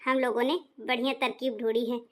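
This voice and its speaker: A woman's voice: fairly high pitch, level tone, brisk, close to an everyday voice. A woman voicing a kind, agreeable elderly mother-in-law in a cartoon, speaking in a homely way. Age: older. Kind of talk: cartoon voice acting.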